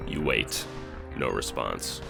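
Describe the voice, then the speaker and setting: A man's voice: rumbling low, lively intonation, slow pace, vocal fry. A man reading second-person scripted narration for an audio drama. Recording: background music under the voice.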